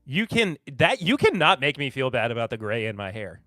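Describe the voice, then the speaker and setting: A man's voice: mid-range voice, very animated, brisk, sharp tone, no echo, clean studio sound, soft background music. A man from Kentucky hosting a live podcast in casual chat, laid-back and chuckling as he reads and answers viewer comments. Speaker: podcast host.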